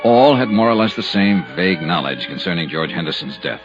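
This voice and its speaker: A man's voice: deep voice, lively intonation, a little fast. An actor playing a wry male insurance investigator who narrates a case in an old radio drama. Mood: dry. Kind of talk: 1950s radio drama acting. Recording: dull old radio recording with music.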